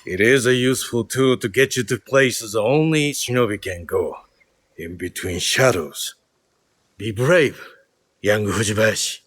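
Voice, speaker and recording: An actor playing a grave, battle-hardened older samurai lord in a video game, speaking with measured authority. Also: male voice, lowish voice, fairly bright tone, slightly rough, no room sound. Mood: grave, commanding. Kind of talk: video game cutscene acting.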